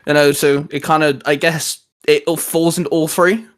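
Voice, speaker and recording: A man's voice: fairly high pitch, flat delivery, normal speed, fairly bright tone. Man hosting a casual geek chat. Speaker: channel host.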